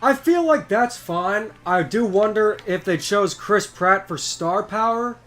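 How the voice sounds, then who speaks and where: A man chatting casually while gaming, giving opinions freely and firing off quick questions. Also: high voice, fairly bright tone, soft background music.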